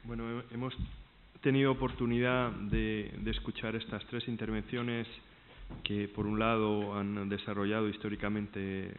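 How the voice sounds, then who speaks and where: A man's voice: fairly low pitch, flat delivery, normal speed. A man moderating a conference panel, hesitant and searching for words as he opens the floor to questions. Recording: tinny phone-like sound with faint noise.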